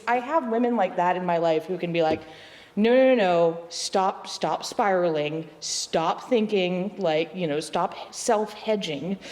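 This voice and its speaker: Woman panelist, chatty and self-deprecating, jokes around, mid pitch, big hall reverb. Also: a little mellow. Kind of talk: panel discussion answer.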